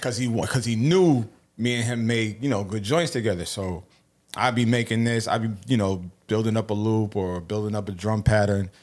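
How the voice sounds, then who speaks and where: Low-voiced laid-back man. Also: moderate pace, a little mellow. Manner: laid-back storytelling, trailing into low mumbles. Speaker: podcast co-host. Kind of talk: casual chat.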